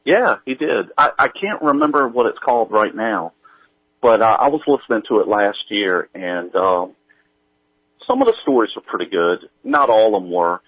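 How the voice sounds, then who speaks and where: A man chatting casually as an interview guest, thinking aloud in a halting, rambling way. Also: on the low side, lively intonation, dry recording, lo-fi sound. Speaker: guest, audio drama writer and producer.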